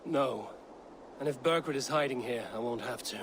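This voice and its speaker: An actor playing a young Viking warrior in a game, blunt, impatient and commanding. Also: male voice, medium pitch, normal speed, fairly bright tone, a little hiss or hum, natural voice. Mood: calm, resolute.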